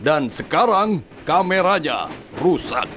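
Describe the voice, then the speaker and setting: Brief: portly, scolding railway controller for a kids' cartoon, very slow and authoritative, swooping pitch. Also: male voice, big pitch swings, heavy background noise. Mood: stern. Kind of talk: dubbed children's cartoon acting.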